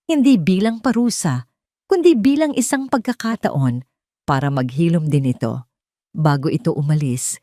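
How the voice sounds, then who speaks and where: A woman with a Filipino accent narrating a scripted love story as a storyteller, with an expressive, dramatic delivery. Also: low-pitched, no room sound, clean studio sound.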